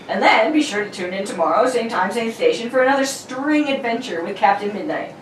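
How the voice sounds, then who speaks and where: High, animated woman radio announcer. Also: brisk, distant mic. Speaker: announcer and narrator. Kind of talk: amateur read of 1940s radio narration and sponsor ad. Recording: roomy, off-mic, faint noise.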